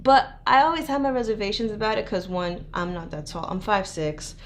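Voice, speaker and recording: A young woman from New York, raised in South Florida, chats about herself as an interview guest. She talks loosely and eagerly, leaning on "like" and laughing easily, at a middle pitch. A light hiss sits under her voice. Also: fairly quick. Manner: chatty, peppers speech with "like", laughs easily. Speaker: guest model. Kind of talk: casual interview chat.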